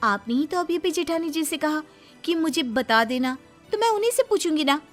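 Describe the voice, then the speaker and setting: A woman's voice: high voice, animated, moderate pace, pitched above the performer's own voice. A woman voicing a cunning young daughter-in-law in a comic family story, mock-sweet, teasing and emphatic. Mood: mock innocent. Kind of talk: Hindi animated family comedy story. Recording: music behind the voice.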